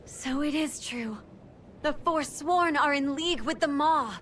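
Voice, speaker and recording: High, earnest celestial aspirant. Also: female voice, a little slow, a bit bright, a little hiss or hum. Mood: earnest, concerned. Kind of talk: fantasy video game voice acting. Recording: slightly distant, music behind.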